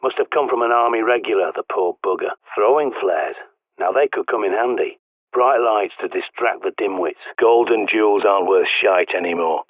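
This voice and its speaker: An actor with a British accent playing a gruff ex-soldier survivalist who mentors the player over the radio, blunt and fatherly. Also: lowish voice, moderate pace, dry recording, natural voice. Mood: blunt advice. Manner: blunt, dry, fatherly, barks orders when urgent. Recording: tinny radio-filtered line, dry.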